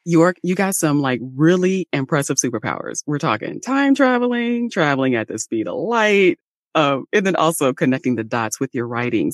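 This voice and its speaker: A woman hosting a podcast interview, encouraging and animated, laughing often. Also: low-pitched, dry recording, clean studio sound. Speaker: podcast host. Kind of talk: friendly interview chat.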